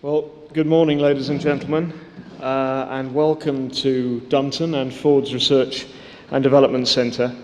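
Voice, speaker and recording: Male presenter, formal speech, flat intonation, echoey hall, faint noise. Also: medium pitch, measured pace, hardly any pauses. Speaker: presenter at a press launch.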